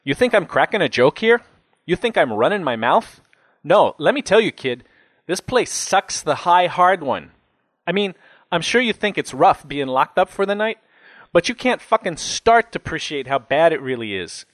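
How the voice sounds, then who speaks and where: A man's voice: fairly high pitch, very animated, moderate pace, fairly bright tone, no echo, pitched above the performer's own voice. A man voicing an angry, foul-mouthed jailed man in his late twenties, ranting and agitated in an audiobook story. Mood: intense, bitter. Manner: agitated, ranting, heavy swearing.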